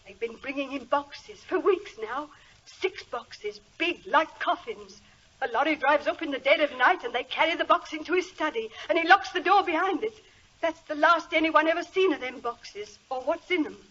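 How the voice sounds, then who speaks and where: An actress playing a frightened, superstitious country housemaid, in excitable 1940s radio style. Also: female voice, sits high, normal speed. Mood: hushed, agitated. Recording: muffled, noisy old radio recording.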